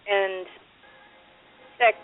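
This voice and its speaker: A woman's voice: drawn-out words, soft background music. A woman from Massachusetts co-hosting a podcast, sharing friendly, chatty anecdotes. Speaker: podcast co-host. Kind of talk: casual chat. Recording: tinny phone-line sound.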